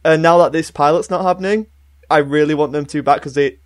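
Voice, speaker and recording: A man's voice: fairly high pitch, steady tone, brisk, no echo. A man co-hosting a podcast, chatting casually and earnestly as a fan, with hesitant, repeated starts. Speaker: podcast co-host.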